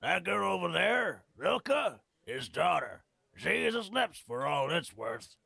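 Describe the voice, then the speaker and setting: A scruffy old video game veteran with a very creaky, raspy voice. He is an irreverent, boozy freeloader who drags his words slowly and theatrically, swinging widely in pitch. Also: very animated, bright, forceful tone, dry recording, studio quality, exaggerated voice. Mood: wry. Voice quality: gravelly, raspy. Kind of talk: video game character acting.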